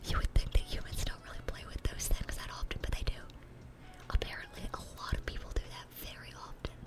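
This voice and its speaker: A woman's voice: fast, close to an everyday voice. A woman voicing a sweet, dorky demon girl in a whispered ASMR roleplay, rambling and stammering in an eager, awkward way. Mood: confiding, animated.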